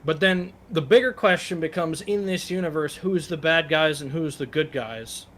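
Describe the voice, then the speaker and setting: Guy with a slightly high voice doing casual reaction commentary, swears a lot, bit of noise behind. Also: normal speed, a bit bright. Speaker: reaction show co-host. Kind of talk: casual group commentary while watching videos.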